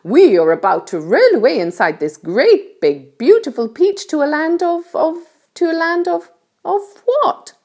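A woman voicing a kind, gentle ladybird in a storybook, explaining things in an assured, reassuring way. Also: sits high, very animated, a little slow, pitched above the performer's own voice, full character voice. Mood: kind, excited.

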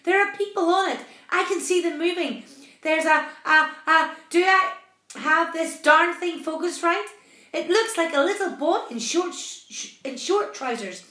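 Woman acting a loud, excitable storybook ship's captain. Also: a little high, takes it slowly, hardly any pauses, exaggerated voice. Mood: frantic amazement. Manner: exclamatory, stammering, repeating himself. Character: astonished, blustering male ship's captain, excitable and alarmed. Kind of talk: storybook read-aloud. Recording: roomy, echoing sound.

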